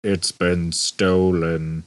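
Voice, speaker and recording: A man voicing a depressed, bored robot in an audiobook, droning slowly with gloomy sarcasm. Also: little pitch movement, no echo. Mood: sour loathing. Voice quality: low, droning. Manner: slow, gloomy, sarcastic muttering. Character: hopelessly depressed, bored male humanoid robot. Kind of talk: audiobook character voice.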